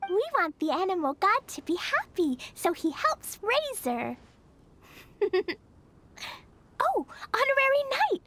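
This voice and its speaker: A woman voicing a cheerful, innocent little girl in a video game, with an excitable, childlike manner. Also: very high-pitched, heavily acted. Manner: childlike, wide-eyed, excitable. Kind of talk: video game character acting.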